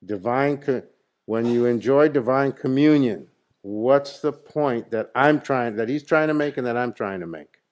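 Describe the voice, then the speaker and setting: A man's voice: medium pitch, unhurried, darkish tone. A man leading a spiritual satsang in a room with an audience, probing his listeners with questions and stressing his points on a handheld mic. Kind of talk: interactive spiritual teaching with a group. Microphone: handheld microphone.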